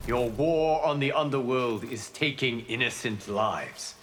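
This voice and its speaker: An actor playing a wise, solemn fire god in a fighting game, counseling in a measured, formal manner. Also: male voice, medium pitch, fairly bright tone, a little hiss or hum, close to an everyday voice. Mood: solemn, advising. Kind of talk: video game pre-fight banter.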